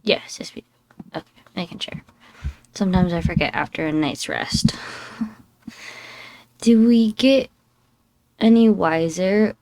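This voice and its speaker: A woman's voice: on the low side, slow pace, pauses often, darkish tone, no room sound. A young woman hosting a casual gameplay commentary with a scratchy, just-woken-up voice. She rambles, apologizes and pokes fun at herself. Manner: chatty, rambling, apologetic and self-deprecating. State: scratchy voice after waking up. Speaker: gaming channel host.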